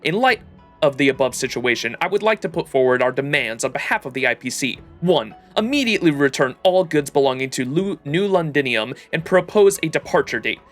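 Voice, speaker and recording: A man's voice: on the high side, lively intonation, brisk, a bit bright. Male game streamer with music behind. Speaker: livestreamer. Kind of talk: gaming stream, reading game text aloud and reacting.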